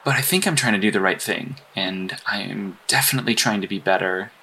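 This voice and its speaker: Audio drama: awkward, sincere male agent played by a man, lowish and bright, halting and apologetic. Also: lively intonation, vocal fry, slightly rough, full character voice, poor audio. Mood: earnest. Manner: halting, stammering, earnest delivery. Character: apologetic, earnest, socially awkward male hero-industry agent. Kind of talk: naturalistic audio drama acting.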